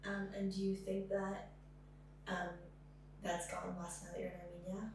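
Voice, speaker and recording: A woman's voice: medium pitch, completely flat delivery, moderate pace. A woman interviewing, asking her questions hesitantly with many "um"s. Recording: echoey room, faint hum.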